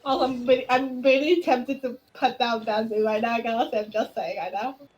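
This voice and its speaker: Playful woman chatting, fairly high voice. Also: fairly even intonation, moderate pace, rough recording. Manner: playful, giggly, easily distracted. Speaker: game streamer. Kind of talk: casual gameplay chat.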